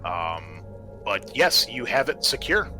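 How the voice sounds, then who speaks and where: A man with a bright, forceful voice acts as game master in a tabletop roleplaying session. He narrates in a halting, deliberate storytelling manner, with music playing behind him. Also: lowish voice, big pitch swings.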